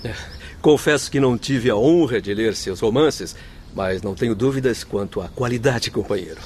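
A man's voice: medium pitch, normal speed, darkish tone, a little hiss or hum, natural voice. An actor in a radio drama playing a kindly, humble male doctor, courteous, formal and reassuring. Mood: humble, encouraging.

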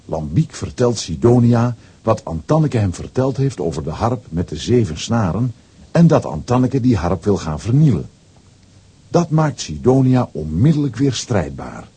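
A man narrating a children's audio play as a storyteller, reading scripted lines with lively expression. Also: lowish voice, moderate pace, husky, full character voice. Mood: animated storytelling. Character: male storyteller of a children's comic adventure.

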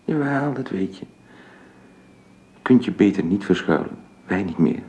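An actor a radio play playing an earnest, restless suitor, speaking intimately. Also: male voice, low voice, expressive, normal speed, dark tone. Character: earnest, restless male suitor pressing for closeness.

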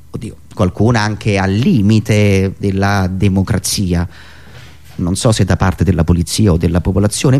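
A man touched by speech, delivering a theatrical satirical political monologue in a sardonic, rhetorical manner, with deliberate pauses and repetitions. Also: medium pitch, a little mellow. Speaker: satirical monologist, radio show host.

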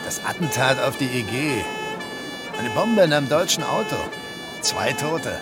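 A man's voice: medium pitch, big pitch swings, unhurried, loud music behind the voice. An actor playing a blunt male police officer in a radio play, speaking brusquely and matter-of-factly.

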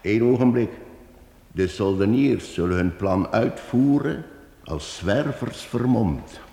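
A man voices a shrewd wandering minstrel in a radio play, laying out a plan in a measured, steady way. His voice sits very low. It sounds roomy, with a long reverb. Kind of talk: radio drama acting.

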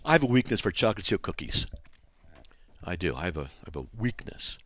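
A man hosting a call-in radio show from a studio, his voice hoarse and scratchy, chatting and joking with listeners. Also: deep voice, sing-song, brisk, dry recording. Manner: chatty and joking, breaking off to talk to people behind the studio window. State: hoarse, voice still shot. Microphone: studio microphone.

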